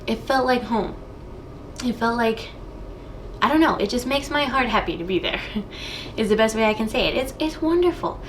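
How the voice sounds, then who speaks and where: Bubbly high-voiced woman hosting a vlog. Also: expressive, normal speed, slight background noise, rough recording. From United States. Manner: bubbly, enthusiastic. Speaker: host. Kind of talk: casual vlog chat to camera.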